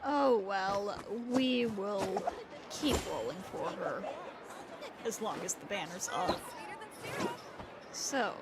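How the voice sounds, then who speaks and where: A woman's voice: mid-range voice, heavy background noise. A woman livestreaming a game, chatting casually and commenting as she plays. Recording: loud game music behind. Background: loud game music.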